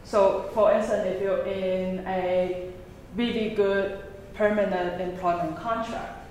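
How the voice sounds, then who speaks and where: A woman's voice: medium pitch, fairly even intonation, slow pace, darkish tone. Woman, game facilitator, instructive tone, heavy room echo, faint background hum. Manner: friendly, instructive, fillers. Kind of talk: explaining game rules to participants. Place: large echoey museum room. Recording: very echoey room with background noise.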